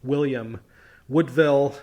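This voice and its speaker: A man at a middle pitch hosts a history podcast from what sounds like his home office. He tells the story casually, with chatty, wry asides and punchy stressed words. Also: slow, mellow.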